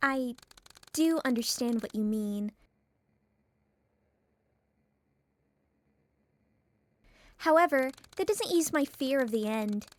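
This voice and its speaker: A woman's voice: sits high, close to an everyday voice. A woman voicing an articulate, philosophical schoolgirl with an anxious streak in a dating sim fan dub, speaking in a brooding, formal way. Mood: troubled, subdued.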